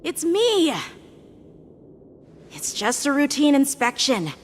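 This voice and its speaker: A woman's voice: high voice, very animated, slow pace, in a large hall, slight background noise, full character voice. A woman voicing an earnest, boyish young swordsman in an anime-style game. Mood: alarmed, indignant. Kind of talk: English anime game voice acting.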